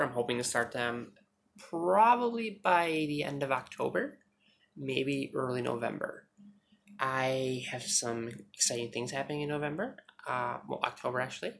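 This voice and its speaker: A woman vlogging on her phone at home, chatting fast and joking with some swearing, her naturally deep voice held higher. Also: creaky, a little hiss or hum. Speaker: YouTuber. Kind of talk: casual storytelling vlog. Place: indoors at home, TV behind her.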